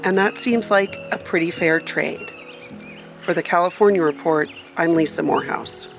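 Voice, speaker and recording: A woman's voice: lowish voice, normal speed, music in the background. A woman radio reporter reading scripted narration for a feature story.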